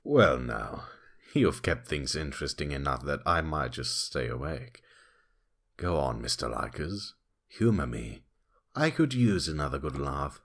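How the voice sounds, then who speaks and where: An actor playing a drowsy, condescending authority figure with an amused drawl in an audio drama. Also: male voice, very deep voice, expressive, unhurried, gravelly, a bit bright, heavily acted. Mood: bored, mocking.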